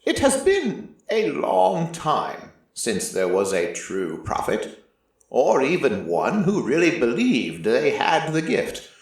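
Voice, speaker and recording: A man voicing an ancient, solemn god of prophecy in a dramatic first-person story, with deliberate pauses. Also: medium pitch, wildly swooping pitch, heavily acted. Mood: solemn, brooding. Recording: heavily echoing, distant-sounding voice.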